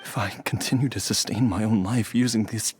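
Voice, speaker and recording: A man's voice: fairly low pitch, fairly even intonation, brisk, darkish tone, faint music behind, natural voice. A man voicing a frail, resigned young man with a heart illness, speaking earnestly and wearily. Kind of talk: video game cutscene voice acting.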